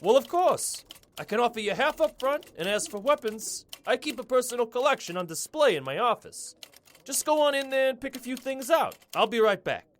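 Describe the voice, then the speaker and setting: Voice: mid-range voice, lively intonation, brisk, natural voice. An actor voicing a disheveled, grateful orc mayor in a fantasy audio drama. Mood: eager, obliging. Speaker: quest-giving mayor.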